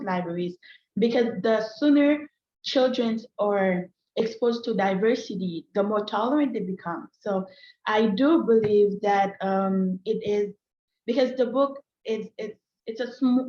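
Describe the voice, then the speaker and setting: French-speaking lady doing a little pitch on a video call, earnest n bit hesitant, echoey muffled audio. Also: a little mellow, slight background noise. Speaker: meeting guest, children's book author. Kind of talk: informal self-introduction and pitch at an online meeting. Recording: roomy, slightly dull video-call audio.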